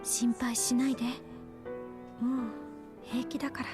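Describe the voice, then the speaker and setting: High-voiced feisty, caring young anime woman by an actress. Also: little pitch movement, brisk, natural voice. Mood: gentle, soothing. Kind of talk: Japanese visual novel voice acting. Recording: loud music under game dialogue. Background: loud game music.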